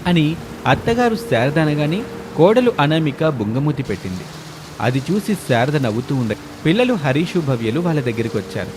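A man's voice: on the high side, big pitch swings, moderate pace, noisy background. A man narrating a scripted family moral story. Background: music.

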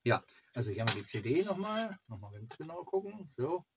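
A man in his forties hosting a casual fan club video, talking haltingly and musing to himself as he reviews merchandise. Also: a little high, big pitch swings, a little hiss or hum. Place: small cluttered home room, indoors.

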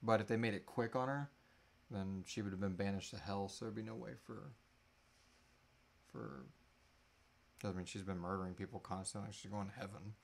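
A man's voice: fairly low pitch, steady tone, moderate pace, vocal fry, long pauses. A man in his thirties chatting casually and informally as host of a live Q&A, with a joking manner. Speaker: host of a live Q&A, audio drama creator. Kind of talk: casual chat, answering audience questions. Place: small room at home, indoors, seated.

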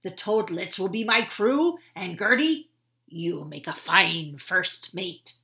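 A woman voicing a gruff, hearty old male sea-captain frog in a storybook read-aloud. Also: on the low side, expressive, full character voice. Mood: jolly. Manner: loud, drawn-out and gruff.

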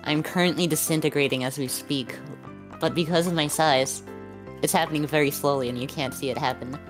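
A woman in a casual live stream chat, teasing her friends with slow, deadpan mock threats. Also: sits low. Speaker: vtuber guest playing a shark character. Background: game music.

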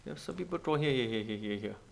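A man with a creaky, gravelly voice talks through a video game casually. His pitch is mid-level but very animated, rising and dropping often. He thinks aloud in short, repeated phrases of non-native, broken English. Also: fast, slight background noise. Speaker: gaming video host. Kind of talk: casual gameplay commentary.

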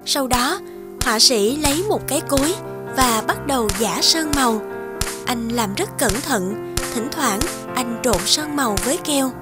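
A woman's voice: sits high, animated, unhurried. A woman reading scripted storybook narration for a cartoon. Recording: music behind the voice.

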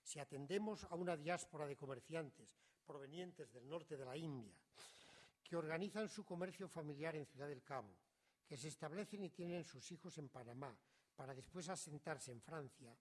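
A middle-aged man, reading a prepared academic lecture in a measured, formal way that often trails off quietly at the ends of phrases. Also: a little high, husky. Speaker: conference speaker.

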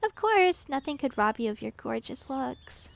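A woman voicing a possessive, obsessive young girlfriend in an ASMR roleplay, speaking sweetly and intimately with soft whispers and a creepy edge under the doting. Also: high-pitched, very animated, moderate pace, gravelly, slight background noise, full character voice. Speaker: ASMR voice actress.

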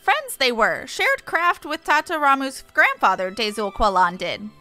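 A woman voicing an excitable birdlike game beastman who squawks and speaks emphatically in inverted word order. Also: sits high, measured pace, soft background music, exaggerated voice. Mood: proud, reverent.

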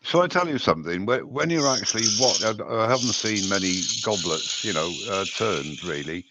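A man's voice: on the low side, lively intonation, brisk, heavy background noise. A man chatting and bantering casually as a guest on a live stream.

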